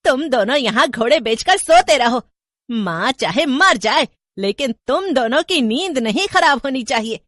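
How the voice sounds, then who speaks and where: A woman voicing an older mother-in-law, panicky and complaining, with a shrill, dramatic manner in a horror story. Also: expressive, unhurried, fairly bright tone, no room sound, studio quality, heavily acted.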